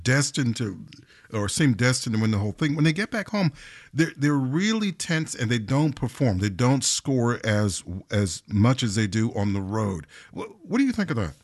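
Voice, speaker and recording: A man hosting a morning radio show, asking eager questions and jumping in with quick interjections. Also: medium pitch, sing-song. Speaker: radio host. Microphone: studio mic.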